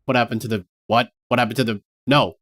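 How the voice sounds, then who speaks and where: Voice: mid-range voice, steady tone, fast talker, fairly bright tone. A host running a casual online commentary session, making wry remarks. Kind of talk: casual group chat.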